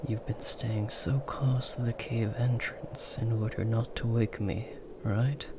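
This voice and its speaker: A man voicing a big, gentle, protective werewolf in a comforting ASMR roleplay, speaking in a hushed, soothing, breathy murmur. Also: mid-range voice, steady tone, slow, noisy background. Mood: tender, soothing.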